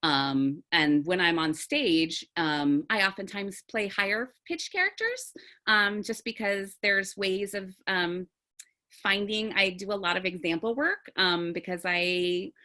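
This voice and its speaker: A woman theatre teacher with a low, authoritative register, explaining things thoughtfully in an online group discussion. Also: animated, measured pace, pushed and bright. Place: video call.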